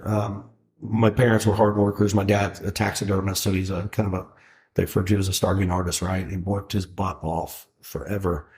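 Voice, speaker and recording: 40s guy rambling thru his story, kinda low voice, lots of um and you know. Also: a little mellow. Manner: rambling, many fillers and restarts. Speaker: guest, food truck owner. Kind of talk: casual interview talk.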